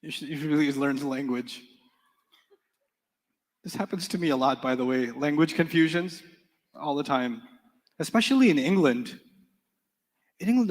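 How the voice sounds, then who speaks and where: A man whose first language is Urdu, teaching an Arabic class in a relaxed, joking manner and quizzing his students. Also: high voice, long reverb tail. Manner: playful, joking, quizzes students in call-and-response. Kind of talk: live classroom lecture with storytelling.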